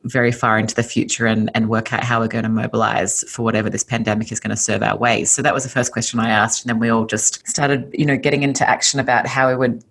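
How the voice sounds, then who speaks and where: A woman with an Australian accent talks in a low, breathy voice. She is a chatty interview guest who laughs easily and fills her speech with casual asides. She sounds middle-aged and relaxed. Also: fast talker, hardly any pauses. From Sydney, Australia. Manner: chatty, laughs often, filler-heavy with "like" and "you know". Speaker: guest, business founder and author.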